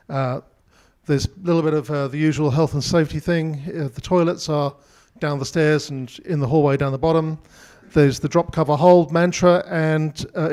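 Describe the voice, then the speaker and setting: A man, hosting a community meeting and giving announcements haltingly, with frequent uhs. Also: on the high side, a little mellow. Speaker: meeting host. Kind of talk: announcements before speeches. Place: community hall meeting room.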